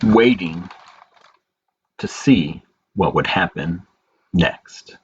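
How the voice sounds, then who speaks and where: A man hosting a storytime as a librarian, reading picture books aloud in measured phrases with pauses and informal asides. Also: low voice, expressive. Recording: dull lo-fi audio with clear background noise.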